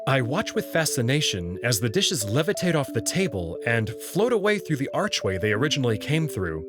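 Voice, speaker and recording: A man's voice: medium pitch, big pitch swings, brisk, music in the background. A man reading a visual novel aloud in first person, moving between narration and dialogue. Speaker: narrator and player reader.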